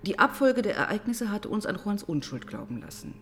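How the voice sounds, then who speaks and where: An actress playing a composed, probing police detective who narrates and talks through the case in a measured, matter-of-fact way in a crime radio play. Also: female voice, medium pitch, very animated, vocal fry, slight background noise. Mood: analytical, skeptical. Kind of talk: German crime radio play, dialogue and first-person narration.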